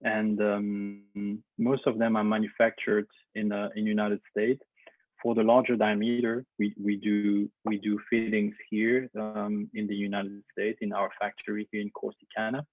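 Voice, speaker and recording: A man's voice: fairly low pitch, completely flat delivery, moderate pace, no room sound. A man from Belgium with a Belgian French accent, whose first language is French, giving a webinar presentation with many hesitant fillers and restarts. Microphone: video call. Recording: tinny phone-like audio.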